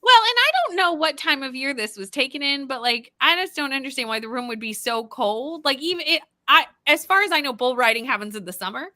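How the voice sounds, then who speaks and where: A woman's voice: high voice, animated, a little fast, few pauses, pushed and bright, no echo, studio quality. A woman co-hosting a book club live show, musing aloud in casual conversation.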